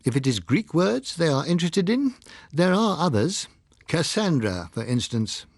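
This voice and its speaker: A man's voice: on the high side, sing-song, moderate pace, no echo, clean studio sound, voice pushed up. A British man voicing an earnest, bitter dissenting scientist in an audiobook, speaking in a formal, grave and insistent way. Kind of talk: audiobook character reading.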